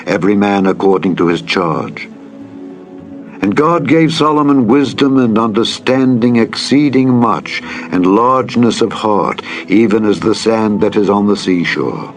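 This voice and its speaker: A man reading scripture as a narrator, in a solemn, measured and deliberate dramatized style. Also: fairly low pitch. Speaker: narrator, Bible reader. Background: background music.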